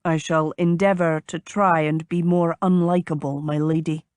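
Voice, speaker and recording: Lady narrator as polite gallant gentleman, voice dropped low, measured n deferential, dry studio sound. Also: fairly even intonation, dry recording, close to an everyday voice. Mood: playful. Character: courteous, gallant gentleman suitor, gently reasonable. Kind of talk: audiobook character dialogue.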